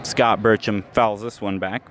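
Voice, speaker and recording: Low-voiced man calling baseball play-by-play. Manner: steady, measured call with stats between pitches, rising sharply on big plays. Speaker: play-by-play announcer. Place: outdoor ballpark. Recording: live ballpark recording with clear crowd noise.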